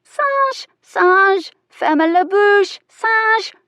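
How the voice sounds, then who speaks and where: A woman voicing a shrill, indignant male pet parrot that squawks single words over and over. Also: animated, very slow, sharp tone, dry recording, heavily acted. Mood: shrieking.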